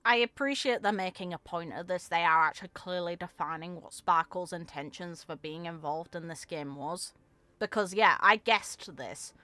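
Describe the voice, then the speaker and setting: A woman's voice: on the low side, normal speed, pushed and bright. A woman giving casual reaction commentary on a game, musing aloud with short emphatic asides. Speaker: content creator reacting to game voicelines.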